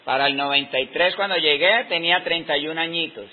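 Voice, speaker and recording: A Colombian man in his fifties, giving an unscripted talk on teaching with slow, reflective pauses in the middle of his sentences. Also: on the high side. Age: 50s. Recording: dull lo-fi recording.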